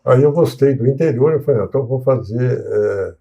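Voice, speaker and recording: Mellow-voiced older Brazilian man reminiscing. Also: medium pitch, a little fast, gravelly, dull audio. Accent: Brazilian Portuguese, São Paulo coast. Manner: reminiscing, with frequent restarts and hesitations. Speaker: guest, civil engineer. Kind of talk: casual interview talk.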